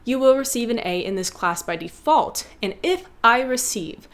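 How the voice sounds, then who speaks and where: A woman's voice: on the high side, lively intonation, moderate pace. A woman hosting a video essay, reading scripted instructional narration in an articulate, playfully teacherly way.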